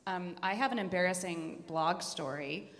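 A woman with a lowish voice chats casually as a podcast co-host. She is on a live panel, and the large room leaves a long echo on her voice. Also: normal speed, fairly bright tone. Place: large indoor event room. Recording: echoey hall, live room microphone.

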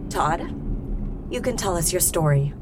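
A woman playing a brisk, bossy and sarcastic investigator in an audio comedy drama, with a dry, exasperated manner. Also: lowish voice, expressive. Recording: clear vehicle noise behind.

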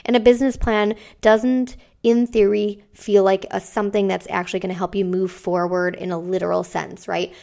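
Raspy-voiced woman hosting a podcast. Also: mid-range voice, little pitch movement, moderate pace, few pauses, mellow. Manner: conversational, with frequent ums. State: has a cold, raspy. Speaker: podcast host. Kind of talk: solo business podcast talk.

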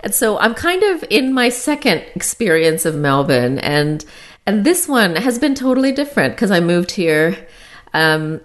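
A woman's voice: mid-range voice, sing-song, measured pace. A woman in her thirties with an American accent, telling her life story in casual, chatty conversation and laughing often at herself. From born in Sri Lanka, raised in the Philippines and Arkansas. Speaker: guest co-host.

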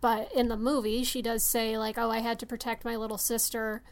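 Higher-pitched woman in casual film discussion. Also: level tone, a little fast. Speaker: podcast panelist.

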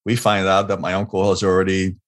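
A middle-aged man from Hungary speaks in a fairly low voice. He is a guest telling a story in a relaxed interview, full of "you know" and small restarts. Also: quick pace. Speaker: guest, leadership expert.

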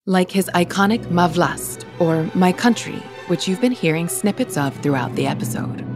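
A woman hosting a podcast, narrating a scripted story in a clear, measured way. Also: on the low side, lively intonation, music in the background. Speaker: podcast host.

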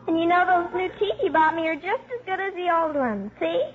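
A pert little girl in an old radio play. The voice is high and cheeky, a wisecracking loyal daughter, heard through a muffled vintage recording. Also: a little fast. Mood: pert, deadpan. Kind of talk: 1950s radio drama acting.

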